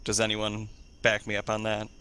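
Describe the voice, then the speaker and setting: A man's voice: on the low side, completely flat delivery, moderate pace, bright, forceful tone, smooth. A man improvising in character during a group role-play discussion.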